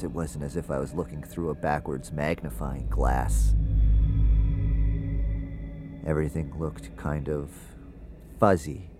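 A haunted, introspective audio drama narrator with a very deep voice. He confides haltingly and uneasily, as if piecing his story together. Music plays clearly behind him. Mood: eerie, unsettled.

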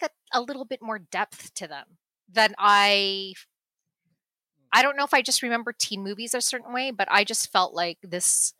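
A woman's voice: medium pitch, pushed and bright, no echo. A woman and mother chatting casually about a teen movie, with frequent restarts and laughter. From United States. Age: adult parent. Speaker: movie review guest.

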